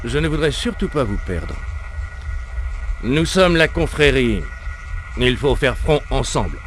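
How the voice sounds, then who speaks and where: A man's voice: mid-range voice, animated, a little slow, a little hiss or hum, natural voice, rough recording. Stern, commanding militant leader, acted by a man. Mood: menacing. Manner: authoritative, solemn, dramatic. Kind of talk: video game cutscene dialogue.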